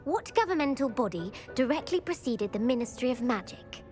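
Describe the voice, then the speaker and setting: A woman's voice: high voice, sing-song, brisk, a little mellow, soft background music. A woman playing an eager, bookish schoolgirl quizmaster in a video game, precise and enthusiastic. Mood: enthusiastic, instructive. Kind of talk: video game character acting.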